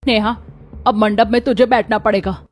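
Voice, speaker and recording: A woman voicing a cruel middle-aged aunt in a Hindi cartoon story, with sharp, melodramatic delivery. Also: a little high, fairly even intonation, normal speed, lightly acted. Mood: commanding.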